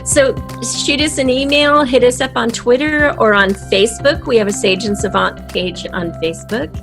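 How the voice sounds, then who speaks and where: A middle-aged or older woman with a fairly high voice and a lot of vocal fry. She chats informally and with spirit as an interview guest, with faint music in the recording. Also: moderate pace. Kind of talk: casual interview chat.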